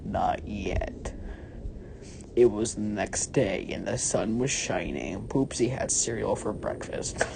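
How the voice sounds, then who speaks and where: Very deep-voiced woman reading theatrically. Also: creaky, background noise. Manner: deliberate, theatrical phrasing. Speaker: story reader. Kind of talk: mock-dramatic scripted narration.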